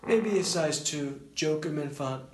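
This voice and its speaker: A man's voice: on the high side, a little slow, natural voice. A man playing a boastful older president in improvised comedy banter, pompous, rambling and repeating himself, in an echoey room. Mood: self-satisfied. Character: boastful older male president, parody of a real politician.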